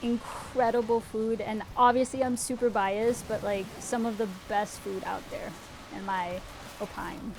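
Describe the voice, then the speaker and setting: Chatty young woman, fairly high voice. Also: steady tone, a little slow, creaky, noisy background. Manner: upbeat, chatty, lots of "like". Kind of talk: casual livestream chat.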